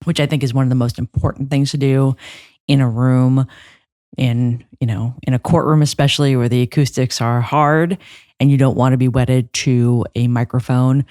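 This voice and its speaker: A woman's voice: very deep voice, mellow, dry recording. A woman trial lawyer talking as a podcast guest on a close microphone, reflective, with frequent restarts and fillers. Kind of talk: conversational interview.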